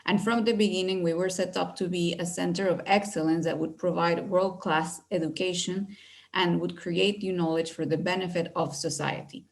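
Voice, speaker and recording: Woman, from Venezuela, middle-aged, lowish voice, webinar presenter, video call audio, friendly, measured, frequent fillers. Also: steady tone, gravelly. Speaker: university recruitment director.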